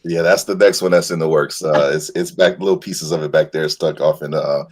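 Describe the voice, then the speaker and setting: A man with a very deep, rough-edged voice talks casually as a stream guest. He is easygoing and enthusiastic, pausing often and feeling for words as he explains. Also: fairly even intonation, darkish tone. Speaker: guest voice actor and cosplayer. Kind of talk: casual chat.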